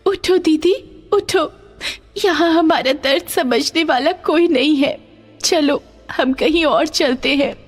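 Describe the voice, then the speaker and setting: Woman voicing a high-pitched, pleading poor village girl. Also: slow, faint music behind. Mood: sad, weary. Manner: pleading, emotional. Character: distressed orphan village girl, elder sister, caring. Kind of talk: animated moral story voice acting.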